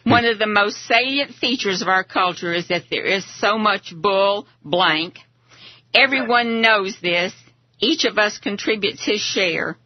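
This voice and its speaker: A woman's voice: mid-range voice, no echo. A woman hosting a live political talk radio show, talking to guests and listeners. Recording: dull lo-fi radio audio.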